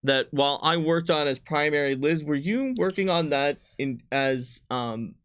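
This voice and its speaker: A man's voice: fairly high pitch, moderate pace, a bit bright, clean tone. A man, a game designer on a livestream, chatting casually in an enthusiastic, rambling way. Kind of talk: casual chat about game books.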